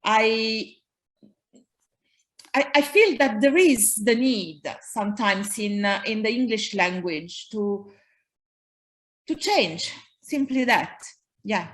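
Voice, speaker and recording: Italian lady poet, talks slow and careful about translating her poems. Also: fairly high pitch, lively intonation, fairly bright tone.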